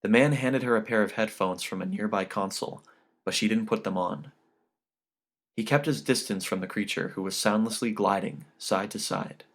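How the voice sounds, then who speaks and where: Low-pitched male audiobook narrator. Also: moderate pace. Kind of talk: scripted literary narration.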